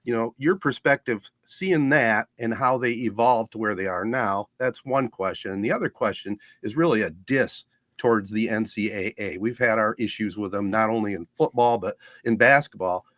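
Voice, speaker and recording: A man's voice: lowish voice, normal speed, fairly bright tone, no room sound, clean studio sound. A man hosting a sports podcast, putting questions to a guest in a casual, conversational way.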